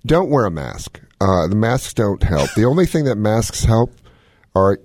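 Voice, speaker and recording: A man's voice: fairly low pitch, big pitch swings, measured pace, a little mellow, dry recording, studio quality. A man hosting a radio talk show, giving casual, folksy health advice with plenty of joking. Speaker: medical expert radio host.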